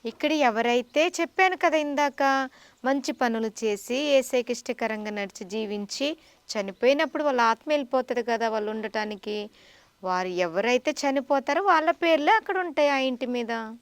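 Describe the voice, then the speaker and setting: High-voiced woman teacher, instructive. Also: normal speed, clear, resonant tone, no echo. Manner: instructive, patient explaining to a child. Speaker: teacher in a puppet show.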